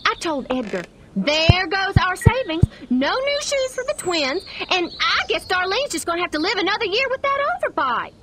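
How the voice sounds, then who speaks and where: A woman's voice: very high-pitched, big pitch swings, moderate pace, background noise. A woman playing a folksy, melodramatic small-town farm wife in a comic video game. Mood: aggrieved, folksy.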